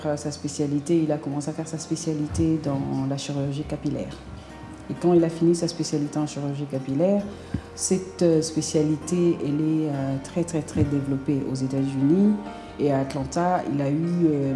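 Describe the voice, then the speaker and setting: A woman from Congo in her forties, answering interview questions in a halting way with many fillers and restarts. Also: low-pitched. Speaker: interviewee, hair clinic co-founder. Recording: roomy echo.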